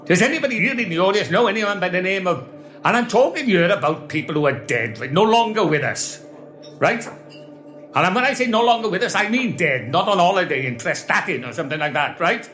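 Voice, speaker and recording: A man's voice: high voice, animated, brisk, fairly bright tone, soft background music. A man doing Marvello, a bluffing Welsh stage medium. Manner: chatty, bluffing showman addressing the audience. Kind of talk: comedy sketch monologue.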